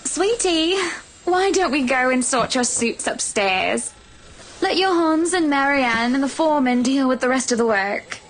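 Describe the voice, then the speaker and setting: A woman playing a sweet, playful, wistful young wife in a visual novel, gentle and teasing. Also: high voice, animated, unhurried, low-quality recording. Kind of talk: visual novel character acting. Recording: guitar music behind the voice.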